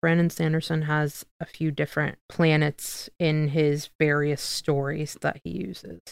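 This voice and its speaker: A woman's voice: low voice, level tone, measured pace, creaky, clear, resonant tone, no echo, clean studio sound. A woman in casual conversation, thinking aloud and correcting herself as she goes. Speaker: podcast co-host.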